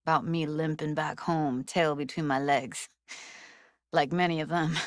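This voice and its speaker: A woman's voice: low-pitched, fairly even intonation, measured pace, dry recording, clean studio sound. A woman playing a guarded, blunt but tender young techie in a video game, speaking in a casual, clipped, streetwise way. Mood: reflective, tender. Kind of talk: naturalistic video game voice acting.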